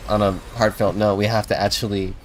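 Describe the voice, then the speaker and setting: Low-voiced man casually streaming a game. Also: little pitch movement, a little fast, a little hiss or hum. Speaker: livestreamer. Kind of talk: casual gameplay commentary. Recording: game music behind the voice.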